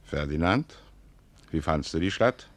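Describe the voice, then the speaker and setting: An actor playing a stern, calculating older duke in a classical radio play, with measured, formal stage diction. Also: male voice, extremely low pitch, animated, a bit bright, exaggerated voice. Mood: stern, grave. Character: stern, calculating older duke, commanding father and military ruler.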